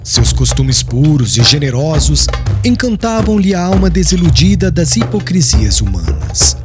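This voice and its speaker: Expressive male audiobook narrator. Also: fairly high pitch, unhurried. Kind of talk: scripted narration. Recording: narration over background music.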